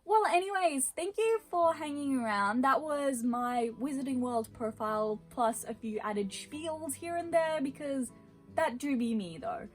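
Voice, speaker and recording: A woman's voice: high-pitched, expressive, unhurried, soft background music. A woman vlogger talking casually to camera, rambling and self-deprecating as she thinks aloud. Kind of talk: casual personal vlog monologue.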